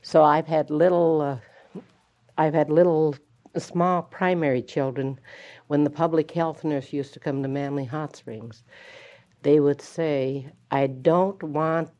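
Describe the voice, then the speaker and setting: A woman's voice: low-pitched, warm tone. An older woman teacher recalling her classroom discipline in an interview, speaking hesitantly with many fillers and restarts. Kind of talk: oral history interview. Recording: dull lo-fi tape.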